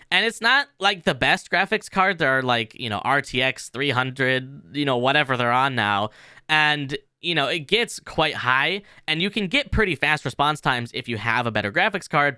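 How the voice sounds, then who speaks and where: Bright, lively man giving a tutorial. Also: a little high, smooth, dry recording, clean studio sound. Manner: informal, explanatory. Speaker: tutorial host. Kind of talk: casual software tutorial narration.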